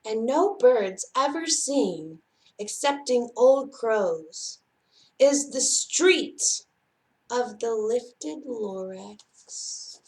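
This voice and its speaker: Slow, higher-voiced woman reading a storybook. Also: poor audio. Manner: expressive, measured storytelling. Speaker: library storyteller reading aloud. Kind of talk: children's picture book read-aloud.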